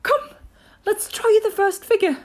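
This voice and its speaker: A woman voicing an excitable, tearful sea turtle creature from a storybook, in an exclamatory, effusive manner. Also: extremely high pitch, unhurried, pitched above the performer's own voice. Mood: eager. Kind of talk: storybook character voice.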